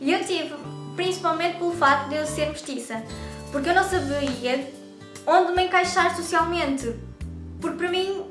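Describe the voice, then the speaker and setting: A chatty young woman with a European Portuguese accent speaks casually to her camera in a high voice. She is recorded in an echoey room, with music running clearly underneath. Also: normal speed. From Brazil. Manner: chatty and talkative. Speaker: YouTube vlogger.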